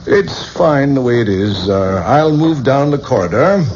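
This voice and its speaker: An actor playing a commanding, probing professor who runs occult experiments, speaking deliberately in a 1970s radio drama. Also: male voice, mid-range voice, animated, darkish tone, close to an everyday voice. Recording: dull, noisy 1970s radio recording.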